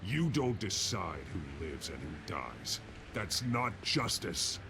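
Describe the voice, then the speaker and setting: A stern masked crimefighter in a video game. His very deep voice is animated and gravelly, giving blunt orders and accusations. Clear background noise surrounds him. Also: slow pace, exaggerated voice. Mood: defiant. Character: stern, brooding male masked vigilante. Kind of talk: dramatic video game acting.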